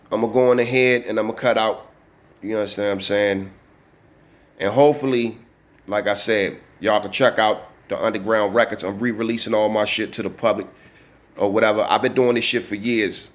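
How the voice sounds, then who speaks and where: A man in his early forties talks straight to the camera from his desk. His delivery is loose and streetwise, full of slang and cursing. He keeps checking in with "you know what I'm saying" as he goes. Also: mid-range voice. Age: 40s. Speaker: channel host. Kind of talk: casual vlog talk to camera.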